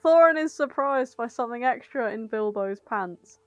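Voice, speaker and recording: A woman's voice: on the high side, lively intonation, normal speed, no room sound. Wry woman chatting on a podcast, dry sound. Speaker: podcast co-host. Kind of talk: casual comedy podcast chat.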